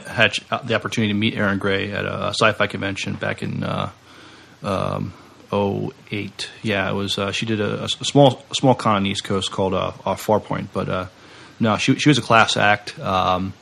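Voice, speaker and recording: Man, low-ish voice, creaky vocal fry, halting delivery, trailing phrases, casual podcast co-host. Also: fairly bright tone. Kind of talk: casual chat.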